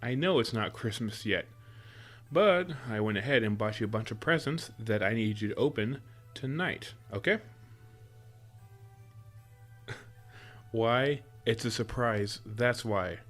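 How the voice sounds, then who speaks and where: Guy acting as a sweet playful boyfriend, kinda deep voice, teasing and coaxing, music in the audio roleplay. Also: expressive, a little slow, long pauses, fairly bright tone. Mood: playful, affectionate. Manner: teasing, chuckles often, coaxing. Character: affectionate playful boyfriend spoiling his sweetheart with surprises.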